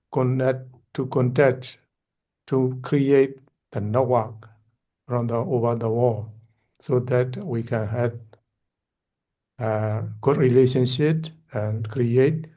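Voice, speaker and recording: A man's voice: mid-range voice, dark tone. A man from Myanmar, the forum organizer, introducing himself in short earnest phrases on a video call.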